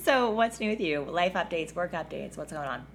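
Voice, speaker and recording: A woman co-hosting a podcast, chatting casually with a voice raspy from a cough, laughing often as she tells stories. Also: lowish voice, lively intonation, moderate pace. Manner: laughs often, loose storytelling with many fillers. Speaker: podcast co-host. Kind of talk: casual chat.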